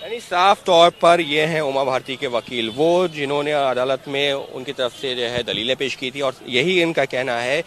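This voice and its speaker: A man's voice: mid-range voice, moderate pace, hardly any pauses, a bit bright. A man working as a TV reporter, asking questions in a live news interview. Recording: outdoor street noise behind.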